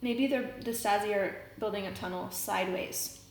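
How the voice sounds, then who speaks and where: A woman reading a children's novel aloud, pausing to explain words as a teacher would. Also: mid-range voice. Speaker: read-aloud reader and teacher. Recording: roomy echo, noticeable background noise.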